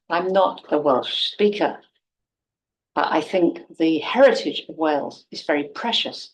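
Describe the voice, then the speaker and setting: A woman teaching an online class, speaking clearly and evenly and putting quiz questions to her students. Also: on the low side, a little hiss or hum, poor audio. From Britain. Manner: clear, measured, poses quiz questions to the viewer. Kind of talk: online class introduction video.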